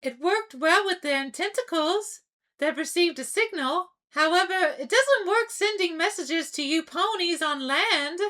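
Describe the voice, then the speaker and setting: A woman puts on a young female sea pony for a fan-made cartoon dub. The voice is extremely high and bright, girlish and eager, with a polite, bubbly royal manner. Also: animated, measured pace, full character voice. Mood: thoughtful, chatty. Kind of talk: amateur comic dub voice acting.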